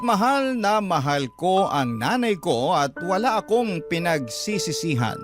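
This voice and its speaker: A man playing a devoted son who narrates his own story earnestly, as scripted radio drama narration. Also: on the high side. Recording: clear music bed under narration.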